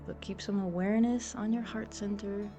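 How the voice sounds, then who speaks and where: A woman leading a guided meditation in a soothing, gentle, unhurried and encouraging way. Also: mid-range voice, steady tone. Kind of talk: guided meditation, soft instructional narration. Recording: soft music behind the voice. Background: meditation music.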